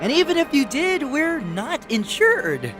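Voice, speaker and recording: A man's voice: very high-pitched, wildly swooping pitch, unhurried, music in the background, heavily acted. An actor playing a skinny male vampire sidekick, a nervous and placating peacemaker, in an audio drama. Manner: placating, jittery.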